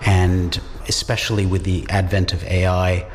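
A man who teaches Czech at a university, answering interview questions in a measured, reflective way with pauses. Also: sits low, little pitch movement, big-room sound. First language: English. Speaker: university lecturer in Czech studies.

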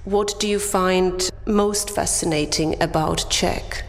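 A woman's voice: on the low side, fairly even intonation, slow. A woman interviewer presenting and putting prepared questions in a formal interview. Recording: reverberant hall sound.

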